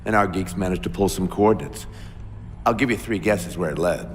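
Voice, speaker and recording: Man as a deep-voiced, gruff captain. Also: fairly quick, big-room sound, a little hiss or hum, close to an everyday voice. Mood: brusque. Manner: brusque, authoritative. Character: gruff, commanding older military captain. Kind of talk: video game cutscene acting.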